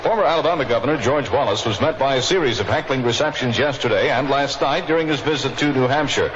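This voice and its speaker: A man's voice: medium pitch, quick pace, muffled recording, crowd noise. A man anchoring a scripted 1960s radio newscast.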